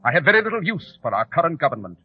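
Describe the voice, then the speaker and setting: An actor playing a shrewd, sardonic English gentleman detective with precise, lofty diction in a 1940s radio drama. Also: a little high, sing-song, rapid-fire, slightly rough, dry recording. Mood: guarded, bold. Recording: dull, muffled old radio recording.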